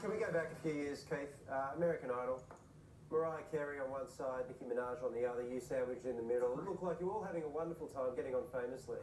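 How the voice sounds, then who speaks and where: A man hosting a TV panel show, asking wry, quick questions. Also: medium pitch, fairly even intonation, darkish tone, roomy sound, far from the mic, soft background music, poor audio. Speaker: TV panel host. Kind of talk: casual TV interview questions.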